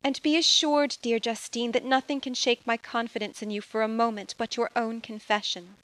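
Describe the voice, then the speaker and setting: A woman voicing a gentle young noblewoman, earnest and emotional, in an audio drama. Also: a little high, steady tone, moderate pace, natural voice. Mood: tender. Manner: earnest, emotional, tender pleading.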